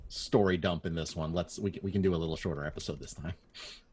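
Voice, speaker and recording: A man with a husky voice, a podcast editor chatting casually on a panel. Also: sits low, steady tone, fast, darkish tone.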